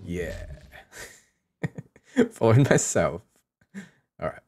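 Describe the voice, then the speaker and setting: A man's voice: sits low, huge pitch swings, drawn-out words, creaky, a little mellow. A man with an Australian accent hosting a livestream, chatting casually and thinking aloud in an informal way. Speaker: live streamer and host.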